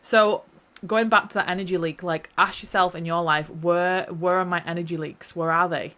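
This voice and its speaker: A woman's voice: on the low side, fairly even intonation, normal speed, a bit bright. A young woman hosting a solo podcast, a little nasal and stuffy from hay fever, talking in an earnest, rambling, heartfelt way. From New Zealand. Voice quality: slightly nasal. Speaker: podcast host. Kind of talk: casual solo podcast talk.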